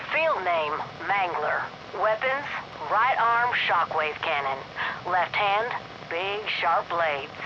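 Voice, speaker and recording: An actor playing a wry, folksy monster expert in a video game, briefing soldiers like a class. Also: male voice, high-pitched. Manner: wry, colloquial, instructive. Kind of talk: game audio log, mock classroom briefing. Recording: muffled radio audio with loud noise.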